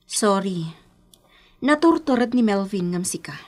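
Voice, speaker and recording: A woman's voice: mid-range voice, lively intonation, measured pace, lightly acted. A woman with an Ilocano accent acting a coy young woman being courted in a radio drama. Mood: apologetic, soft.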